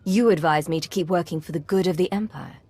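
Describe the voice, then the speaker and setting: A woman's voice: on the low side, fast talker, dark tone, slightly rough. An actress playing a composed, loyal female secret agent in a video game, speaking in a controlled, serious and direct manner. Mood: composed, earnest. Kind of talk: cinematic video game dialogue.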